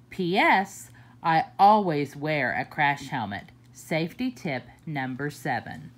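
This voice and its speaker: A woman reading a children's picture book aloud for story time, slow and deliberate with expressive swoops. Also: fairly low pitch.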